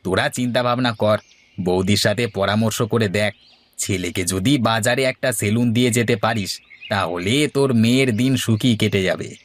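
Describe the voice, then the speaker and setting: A man's voice: on the low side, normal speed, lightly acted. A man playing a villager who acts as a persuasive matchmaker for his friend in a cartoon. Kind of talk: Bengali moral story cartoon dialogue.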